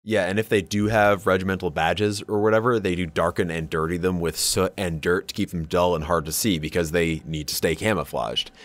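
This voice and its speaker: A man's voice: sits low, steady tone, brisk, hardly any pauses, a bit bright. A man co-hosting a podcast in casual, wry, informal banter about a hobby. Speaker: podcast co-host.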